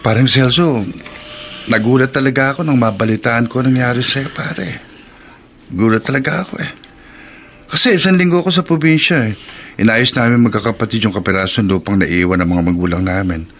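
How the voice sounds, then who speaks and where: An actor playing a concerned, sympathetic male friend in a Filipino radio drama, conversational and halting, with a Filipino accent. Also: medium pitch, fairly bright tone, a little hiss or hum, close to an everyday voice.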